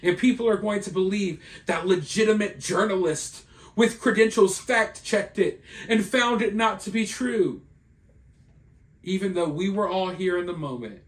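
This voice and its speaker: A man with a high voice that has gone hoarse from overuse, sounding worn out. He rants with emphatic indignation, delivering his commentary straight to the camera on his own. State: tired. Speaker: online video commentator. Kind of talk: solo commentary vlog.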